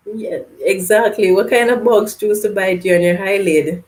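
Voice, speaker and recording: A woman's voice: mid-range voice, a little mellow, poor audio. A woman hosting a live gardening Q&A from Canada, reading out viewer comments and answering in a chatty way that breaks into laughs. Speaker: gardening channel host. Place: home, live stream.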